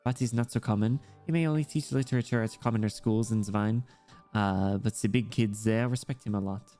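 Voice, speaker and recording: A man's voice: on the low side, fairly even intonation, brisk, warm tone, natural voice. A man reading the game lines of an earnest, proud ten-year-old girl in a plain storytelling way. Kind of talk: reading visual-novel dialogue aloud. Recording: music playing behind the voice.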